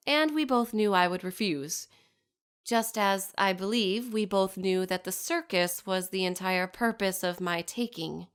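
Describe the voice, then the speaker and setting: A woman playing a poised, shrewd young bride from a scheming family in a scripted dramatic monologue, speaking formally with measured, pointed diction. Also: mid-range voice, fairly bright tone. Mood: composed, pointed. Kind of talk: scripted dramatic monologue addressed to her mother.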